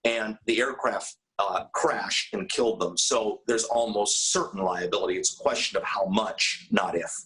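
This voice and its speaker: A man's voice: lowish voice, moderate pace, fairly bright tone, rough recording. A man with an American accent giving unscripted expert comment as an attorney in an interview.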